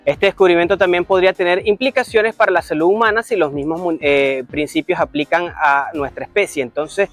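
A man's voice: high-pitched, steady tone, a little fast, hardly any pauses, fairly bright tone, faint music behind. A man hosting a show, reading a science segment and introducing guests in an upbeat presenter's manner. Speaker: show host.

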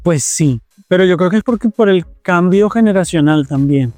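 A man's voice: sits high, moderate pace, a little mellow, dry recording, soft background music. A man with a Colombian accent talking conversationally in an interview, repeating phrases for emphasis. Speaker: interviewee, TV presenter and producer.

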